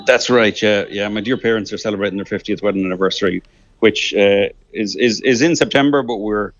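An Irish man in his thirties phones in to a radio programme, talking casually and stumbling over his words with plenty of fillers and restarts. His voice sits low. The line sounds dull and muffled. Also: fairly quick, dry recording. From Ireland. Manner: hesitant, many restarts and fillers. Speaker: caller.

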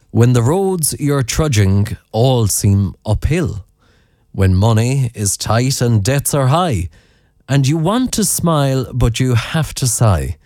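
A man's voice: mid-range voice, a little mellow, no room sound, studio quality. An Irish man presenting a radio programme, chatting in a rambling way with fillers and self-corrections, and reading out a listener's poem. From Ireland. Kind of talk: late-night radio chat and poem reading.

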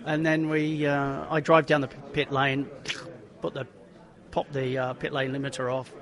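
A man being interviewed about his racing career, telling the story in a lively, stumbling way and acting out what people said. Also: mid-range voice, normal speed. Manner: chatty, animated, stumbling restarts, acts out quotes. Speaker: racing driver guest.

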